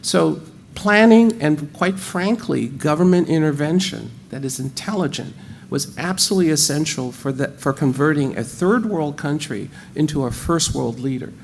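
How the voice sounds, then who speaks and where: A man's voice: a little high, vocal fry, slight background noise. A man with an American accent speaking animatedly on a panel, repeating words as he thinks. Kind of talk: panel discussion.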